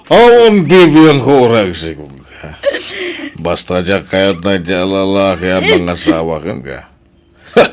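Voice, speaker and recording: A man's voice: low voice, very animated, unhurried. An actor playing a stern, angry rich father in a radio drama, scolding and domineering, with an Ilocano accent. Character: stern, angry rich father forbidding his daughter's romance.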